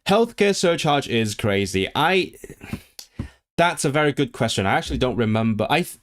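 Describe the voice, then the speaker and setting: A man's voice: mid-range voice, animated, moderate pace. A man chatting casually on his own livestream, rambling with frequent restarts and fillers. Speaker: language streamer.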